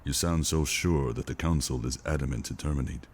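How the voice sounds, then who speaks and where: A man plays a stoic covert operative and father in an audio drama. His voice is extremely deep, and he speaks with measured, weary resignation. There is faint noise behind him. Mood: calm, philosophical.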